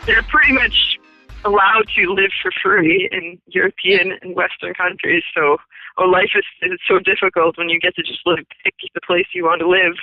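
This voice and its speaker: A woman's voice: on the low side, fairly even intonation, normal speed, dry recording, faint music behind. A woman guest on a talk show, speaking in earnest, run-on sentences. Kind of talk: casual political talk. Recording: tinny phone line.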